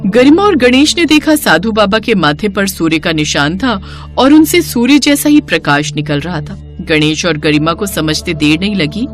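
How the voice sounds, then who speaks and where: A woman's voice: mid-range voice, animated, moderate pace, soft background music. A woman narrating a scripted moral story in a storyteller's style.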